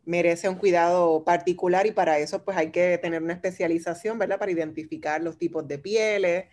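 Low-voiced Puerto Rican radio host woman. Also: fairly even intonation, a little fast. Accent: Puerto Rican Spanish. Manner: warm, conversational, frequent tag questions. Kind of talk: radio interview.

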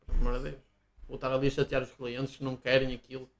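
A young man chatting casually as a podcast co-host, agreeable and quick with jokes. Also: medium pitch, completely flat delivery. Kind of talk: casual chat.

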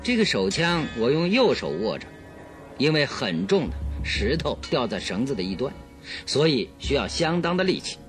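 A man's voice: medium pitch, big pitch swings, normal speed, fairly bright tone, soft background music. An actor playing a confident male detective in a radio drama, explaining deductions in a theatrical, self-assured way. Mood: deliberate.

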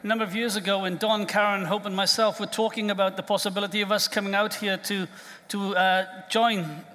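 High-voiced Welsh preacher in a reverberant church. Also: male voice, level tone, a little fast, a bit bright, faint music behind. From Wales. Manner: conversational preaching, with frequent restarts and repeated words. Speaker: pastor. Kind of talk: sermon. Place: church sanctuary.

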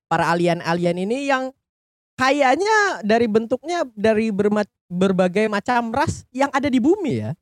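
A man's voice: high-pitched, sing-song, normal speed, no room sound, studio quality. A man with a colloquial Jakarta Indonesian accent hosts a casual film discussion in joking, informal slang. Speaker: podcast host.

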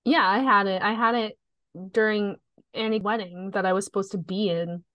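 A woman's voice: mid-range voice, fairly even intonation, moderate pace, dry recording, lo-fi sound. A woman co-hosting a podcast in casual, informal chat, a bit sniffly. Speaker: podcast co-host.

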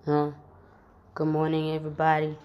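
A woman with a flu-roughened voice, talking casually to her viewers in a rambling vlog, full of slang and repeated fillers. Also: sits low, completely flat delivery, moderate pace, highs cut off. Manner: slangy, repeats fillers like "you know what I'm saying". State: hoarse from flu. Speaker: vlogger.

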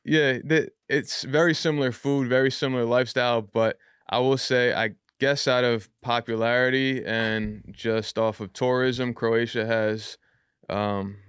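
A young man talks through his story in an easy, rambling way, dropping fillers as he goes. His voice is smooth and resonant, mid-pitched, and recorded close and dry with no room sound. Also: steady tone, measured pace, fairly bright tone, no echo. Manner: loose, chatty, frequent fillers. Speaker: rapper, podcast guest. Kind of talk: casual interview talk.

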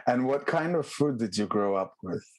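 A man's voice: mid-range voice, expressive, moderate pace, darkish tone, slightly rough. A man hosting a podcast, reading a guest's introduction and asking short, understated questions. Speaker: podcast host.

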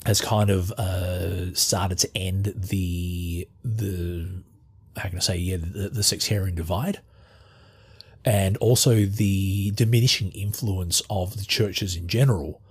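Very husky deep-voiced Australian man. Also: fairly even intonation. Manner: halting, many restarts and fillers while searching for words. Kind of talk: casual conversational interview.

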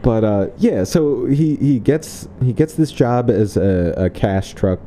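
A man's voice: medium pitch, animated, slow, warm tone, slight background noise. A man co-hosting a podcast, casually talking through a film and joking by acting out characters in mock voices. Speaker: podcast co-host.

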